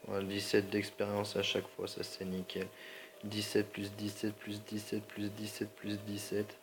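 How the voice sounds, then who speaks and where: Guy w a sore throat n stuffy nose rambling thru his game, slangy, lots of sorrys, low gravelly voice. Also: monotone, normal speed, noisy background. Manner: rambling, slangy, keeps apologizing. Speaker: gaming channel host. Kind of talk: casual gameplay commentary.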